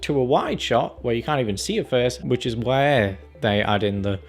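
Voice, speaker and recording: A man's voice: medium pitch, animated, moderate pace, faint music behind. A man giving casual, opinionated video commentary as a review vlogger, in a rambling, conversational way. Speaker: film and game review vlogger.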